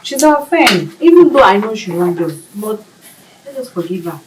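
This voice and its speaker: A woman's voice: mid-range voice, sing-song, moderate pace, far from the mic, a little hiss or hum, close to an everyday voice, low-quality recording. A woman with a Nigerian accent acting an outspoken friend in a film drama. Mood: pleading, forgiving.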